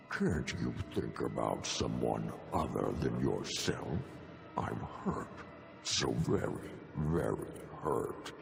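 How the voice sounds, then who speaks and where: A man playing a smug, falsely soothing sloth demon in a fantasy video game, drawling and condescending. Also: heavily acted. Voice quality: deep, gravelly, creaky. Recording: long cavernous reverb.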